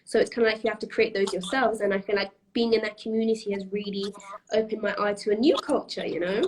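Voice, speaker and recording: A woman's voice: medium pitch, fairly even intonation, fast, a little mellow, slight background noise, poor audio. A young woman from Iran, a podcast guest, talking casually with lots of fillers, restarts and laughter. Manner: chatty, laughs often, many fillers and restarts. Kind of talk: casual interview chat.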